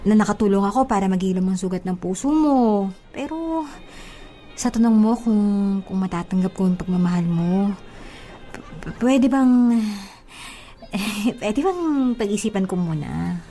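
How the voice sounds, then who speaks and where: A woman's voice: medium pitch, lively intonation, measured pace, long pauses, warm tone. A woman playing a chatty young housemaid in an audio drama, exclaiming and stammering when flustered. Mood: flustered, gentle. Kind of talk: radio-drama style acting.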